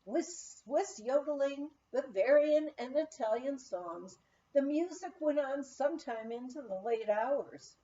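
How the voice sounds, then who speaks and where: A woman's voice: sits high, a little slow, muffled recording, slight background noise. A woman reading a humorous memoir aloud as an audiobook, telling it expressively like a storyteller. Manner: expressive storytelling, acting out quoted speech. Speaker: audiobook reader.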